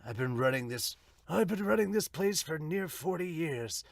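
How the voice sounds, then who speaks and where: A man's voice: on the high side, lively intonation, moderate pace, creaky, dry recording, far from the mic. Older male arcade proprietor, voiced by a man, manga dub, reassuring longtime local, dry close sound. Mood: matter-of-fact.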